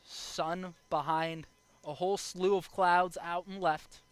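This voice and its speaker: A man's voice: sits high, steady tone, slow, clean tone, no room sound. A young American man calling baseball play-by-play as a live broadcast commentator. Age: young adult.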